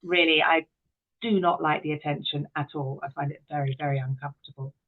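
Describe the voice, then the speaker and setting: A woman's voice: low-pitched, steady tone, brisk. A middle-aged British woman chatting candidly and with self-deprecation as an interview guest, reflecting on her own experiences. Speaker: podcast guest, actress, director and writer. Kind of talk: conversational interview, reflective personal anecdotes. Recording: thin phone-like call audio.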